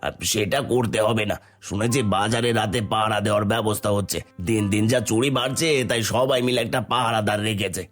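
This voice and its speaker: A man voicing a kind, generous, earnest village shopkeeper in an animated moral tale. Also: fairly low pitch, brisk, soft background music, lightly acted. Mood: downcast, hesitant.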